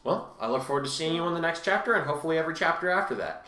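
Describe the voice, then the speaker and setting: A man's voice: on the high side, lively intonation, rapid-fire, pushed and bright. A man co-hosting and reading his own fan fiction, moving between casual chat and dramatic narration. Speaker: co-host and fan fiction author.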